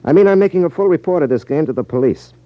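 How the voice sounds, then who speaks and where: An American actor playing a cool, wry magician detective in a 1950s radio drama, with a teasing, unruffled manner. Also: male voice, a little high, expressive, rapid-fire, dry recording, natural voice. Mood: assured, wry. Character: cool, wry magician detective who exposes gambling rackets. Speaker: lead actor in a detective series. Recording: muffled 1950s radio broadcast recording.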